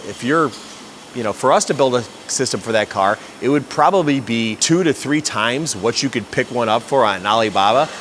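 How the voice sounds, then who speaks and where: A man's voice: mid-range voice, fairly bright tone, a little hiss or hum. A man hosting a casual question-and-answer explainer, talking in a conversational, explanatory way like a shop fabricator. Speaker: host, exhaust shop fabricator.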